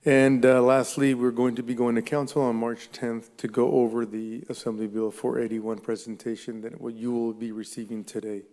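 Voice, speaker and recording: A man from the police department giving a formal, measured report to a community advisory committee at a public meeting. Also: mid-range voice, level tone, dark tone, in a large hall. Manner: measured, reads through agenda items. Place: council chamber.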